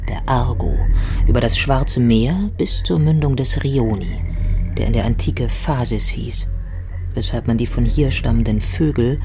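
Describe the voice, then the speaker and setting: A narrator reading scripted literary radio narration in a measured, reflective manner. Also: medium pitch, close to an everyday voice. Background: music.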